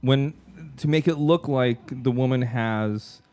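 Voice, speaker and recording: A man hosting a podcast, firing off quick questions in casual chat and often restarting his words. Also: medium pitch, a little mellow. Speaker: podcast host.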